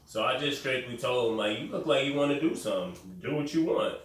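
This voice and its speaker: A man's voice: medium pitch, expressive, fairly quick. A young man hosting a podcast, telling a story in a blunt, casual way with swearing and restarts. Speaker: podcast host. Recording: very echoey room, distant mic, faint noise.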